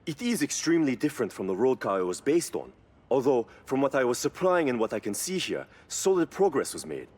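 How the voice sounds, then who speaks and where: Casting: earnest, knowledgeable car mechanic for a video game, raspy, animated intonation, explanatory delivery. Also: male voice, mid-range voice, brisk. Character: earnest, knowledgeable male car mechanic, reverent about engines. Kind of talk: scripted video game cutscene dialogue.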